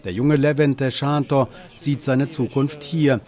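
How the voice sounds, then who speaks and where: A man reading a scripted news report as a documentary voice-over, in an even, measured way. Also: medium pitch.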